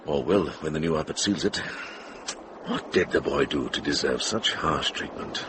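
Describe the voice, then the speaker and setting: An actor playing a wry older monk in a radio drama, gruffly kind, teasing and chiding the young. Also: male voice, very deep voice, moderate pace, background noise.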